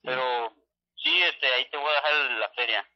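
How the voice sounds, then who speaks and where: A man's voice: medium pitch, normal speed. A man with a northern Mexican accent in a slangy, casual phone conversation, hedging and evasive as he talks. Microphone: telephone call. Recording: dull lo-fi telephone line.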